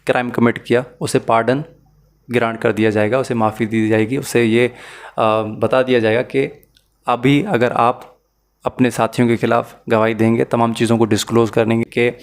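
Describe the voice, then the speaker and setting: Male lecturer, Pakistani accent, mid-range voice, explains methodically in a tutorial style, one step at a time. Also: medium pitch. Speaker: law lecturer. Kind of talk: explanatory lesson mixing Urdu and English.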